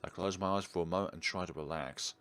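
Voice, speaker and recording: A man's voice: deep voice, quick pace, a bit bright, no room sound. A man reading a visual novel aloud theatrically and adding his own casual commentary as a let's player. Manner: theatrical, emphatic reading with interjected reactions. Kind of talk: dramatic read-aloud narration with casual gameplay commentary. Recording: clearly noisy background.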